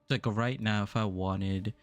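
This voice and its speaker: A man's voice: on the low side, steady tone, normal speed, no room sound. A young adult man from the US East Coast, musing aloud and answering chat during a casual game stream. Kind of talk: casual live-stream chat while playing.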